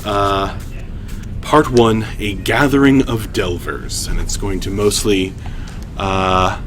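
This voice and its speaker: Guy with a lowish voice doing a story time stream, chatty bits and measured fantasy narration. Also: fairly bright tone, slight background noise. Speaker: writer and host reading his own story aloud. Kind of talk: livestream story reading, narration with casual asides.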